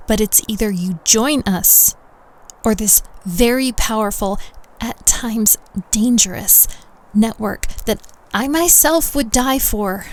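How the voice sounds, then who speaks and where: Creaky-voiced, coaxing young society recruiter played by a woman. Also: on the high side. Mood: quietly threatening. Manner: coaxing, deliberate, confiding, with breathy near-whispered phrases. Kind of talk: intimate girlfriend roleplay audio drama.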